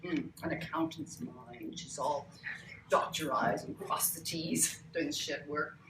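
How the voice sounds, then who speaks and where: A woman playing a loud, bossy older TV producer, self-important and brisk, at a live table read. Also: deep voice. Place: cramped bookstore, live reading without microphone. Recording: echoey live room, distant mic, faint noise.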